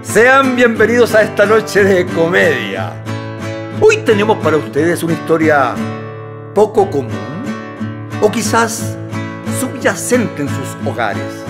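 An actor with a Chilean accent playing a genial host who narrates a radio comedy in a theatrical style. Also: male voice, sits high, very animated, takes it slowly, heavily acted. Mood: welcoming, theatrical. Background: guitar music.

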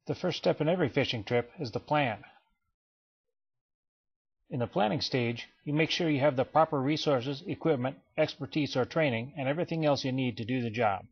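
A man giving a scripted voice-over for a training slideshow, in a measured, explanatory way. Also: mid-range voice, husky. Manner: measured, even explanatory delivery. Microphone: voice-over, not recorded in pictured place. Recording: dull lo-fi voice-over.

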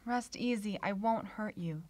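A young actress playing a mysterious, gentle woman in mourning, speaking softly and soothingly in a radio play. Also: mid-range voice, measured pace, dry recording, lightly acted. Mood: eerie, soothing. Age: young adult. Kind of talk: old-time radio drama acting. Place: small radio studio.

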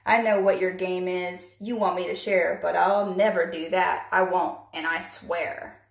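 A woman voicing a greedy, selfish male pug who grumbles and shouts over his toys, in a storybook reading. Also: on the low side, steady tone, measured pace, gravelly, echoey room. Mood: possessive.

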